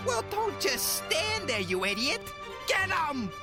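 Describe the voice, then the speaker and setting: A woman's voice: high voice, very animated, takes it slowly, music in the background, heavily acted. A woman playing a bossy orc crime enforcer in a fantasy audio drama, with a mocking, slangy, bullying manner. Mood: exasperated.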